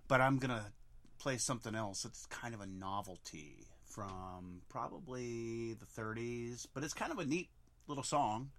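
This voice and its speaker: A man with a loud, resonant voice, chatting casually on air as a radio DJ and introducing old records with easygoing enthusiasm. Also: medium pitch, a little slow.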